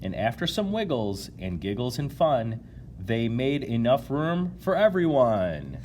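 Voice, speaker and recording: Man, children's read-aloud, very lively pitch, unhurried, some background noise. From United States, Illinois. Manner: animated reading, addressing young listeners. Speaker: chiropractor reading a picture book to children. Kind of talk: storybook read-aloud with casual asides.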